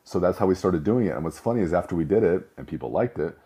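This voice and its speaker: A middle-aged man with a low voice, talking casually and rambling with restarts. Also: expressive, fast, dark tone. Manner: rambling, self-correcting storyteller. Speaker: host. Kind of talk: casual monologue.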